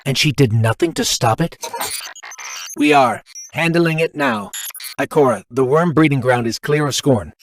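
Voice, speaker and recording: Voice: mid-range voice, sing-song, normal speed, gravelly, soft background music. An actor voicing an earnest, inquisitive robot companion in a video game, questioning and a little exasperated.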